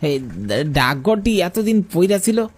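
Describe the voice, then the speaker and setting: A man's voice: sits high, animated, a little slow. A man playing a friendly, chatty village rickshaw puller in a cartoon, speaking rural Bangladeshi Bengali. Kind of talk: cartoon dialogue. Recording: music behind the voice.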